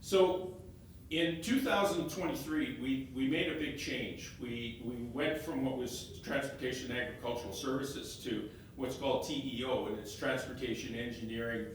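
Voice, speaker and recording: A man, an elected councillor presenting slides to a meeting, halting and often repeating his words as he restarts phrases. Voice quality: loud voice. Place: meeting room, stepping back from a fixed mic. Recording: very echoey room, heard from a distance, faint rumble.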